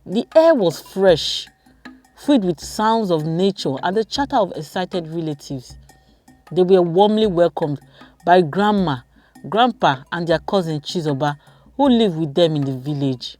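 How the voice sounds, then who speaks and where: Animated woman storyteller. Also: on the low side, measured pace, faint music behind. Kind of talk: scripted children's folktale narration.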